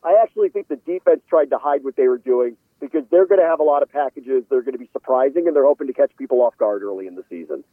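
A man giving conversational football analysis as a guest, measured and opinionated, qualifying his points as he goes. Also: medium pitch, no echo. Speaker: sports analyst interviewed as a guest. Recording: phone-like radio call audio.

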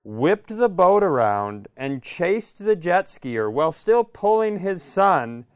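A man's voice: high-pitched, sing-song, takes it slowly, very noisy. A man co-hosting a comedy podcast, chatting and telling stories casually with dry, understated humor. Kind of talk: casual chat and storytelling.

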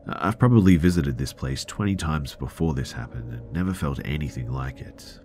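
A man narrating first-person horror stories in a measured way, with dramatic pauses and hushed moments. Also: rumbling low, slight background noise. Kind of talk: scary first-person storytelling.

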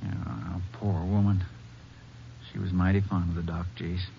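A sympathetic middle-aged American sheriff from a small town, plain-spoken and lowish in pitch. It is 1950s western radio acting, dulled by age with clear background noise. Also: male voice, little pitch movement, unhurried. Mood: somber. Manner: folksy, plain-spoken drawling phrasing. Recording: muffled, noisy 1950s radio recording.

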